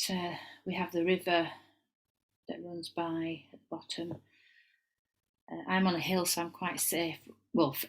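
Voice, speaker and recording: A woman's voice: fairly low pitch, a bit bright. A middle-aged woman with a Yorkshire accent, giving a casual tarot reading at home and wandering into chatty asides. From England. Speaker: tarot reader.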